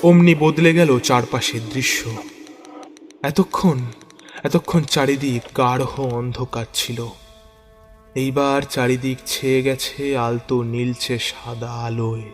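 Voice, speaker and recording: A man narrating a first-person horror story theatrically, with halting, suspenseful pauses. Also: mid-range voice. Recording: long reverb, music bed underneath. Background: background music.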